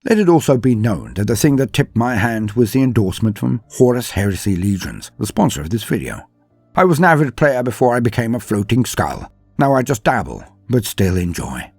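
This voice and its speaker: Guy narrating lore, kinda theatrical and florid, raspy voice that moves around a lot, music underneath. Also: mid-range voice, big pitch swings, brisk, darkish tone, no echo. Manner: theatrical, florid wording, self-deprecating. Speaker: lore channel host and narrator. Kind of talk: scripted lore narration with chatty asides. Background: background music.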